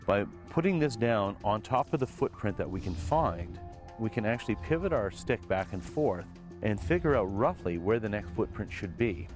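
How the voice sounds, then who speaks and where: Man, lowish pitch, practical instructional explanation, clear music behind. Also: very animated, normal speed. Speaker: search and rescue tracker.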